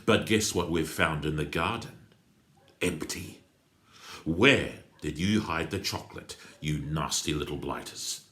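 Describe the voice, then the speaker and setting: A crooked policeman from a children's storybook, acted out by a male reader, low in pitch and menacing. He snarls and sneers like a bully, in a roomy space with audible echo. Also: measured pace, a bit bright. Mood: sneering.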